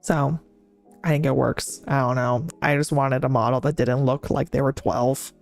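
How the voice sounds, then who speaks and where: A young adult woman streaming as a VTuber, talking in a chatty, rambling way with many fillers and restarts. Her voice is fairly deep. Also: steady tone, moderate pace, darkish tone. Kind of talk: casual chat stream. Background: faint background music.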